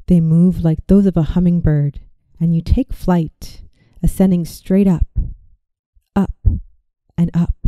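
A woman narrating a scripted guided visualization as a meditation guide, in a soothing, gentle and measured way. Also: lowish voice, no echo.

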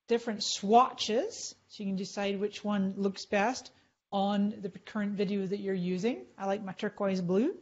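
A woman's voice: on the high side, dull audio. A middle-aged woman leading a chatty live tutorial on screen, clicking through and explaining as she goes. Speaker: social media marketing trainer.

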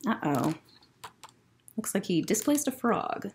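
Animated young woman reading slowly to children. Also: mid-range voice, noisy background. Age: young adult. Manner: expressive, deliberate storytelling. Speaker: librarian storyteller. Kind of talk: picture book read-aloud for children. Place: indoor library room.

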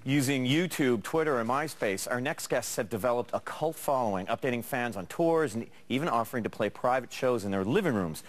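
A man's voice: medium pitch, animated, a little fast, few pauses. A man in his thirties co-hosting a breakfast television show, joking with guests on a studio soundstage. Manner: upbeat, joking banter. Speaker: morning show co-host.

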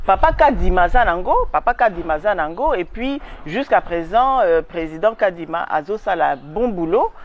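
A woman's voice: lowish voice, animated, normal speed, hardly any pauses, dull audio. A woman in her forties with a Congolese accent, giving an emphatic outdoor interview on a lapel mic. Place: outdoors in a garden.

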